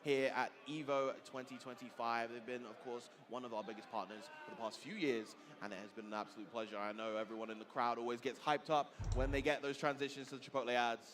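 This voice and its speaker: A man giving measured, analytical and agreeable live commentary at a fighting game tournament. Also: mid-range voice, steady tone, a crowd in the background. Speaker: esports commentator. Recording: music behind the commentary.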